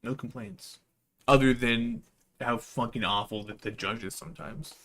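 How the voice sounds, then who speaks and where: A man's voice: mid-range voice, moderate pace, vocal fry, sharp tone. A man giving casual, slangy gaming livestream commentary, swearing and chatting to his viewers. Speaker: live streamer.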